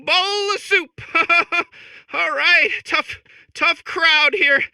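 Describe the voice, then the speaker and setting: A man's voice: very high-pitched, very slow, vocal fry, bright, forceful tone, no room sound, clean studio sound, full character voice. A man voicing a zany, desperate comedian robot in a video game, stammering through showbiz patter and giggling at its own jokes. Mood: manic, self-amused. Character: manic, desperate, hacky comedian robot cracking itself up. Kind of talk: improvised-sounding comic video game acting.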